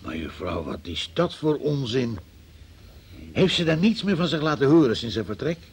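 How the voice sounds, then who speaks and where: An actor playing a stern, impatient investigating magistrate in a Dutch radio play, probing and sceptical. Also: male voice, mid-range voice, sing-song, moderate pace, slightly rough. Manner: probing, sceptical, officious, exasperated.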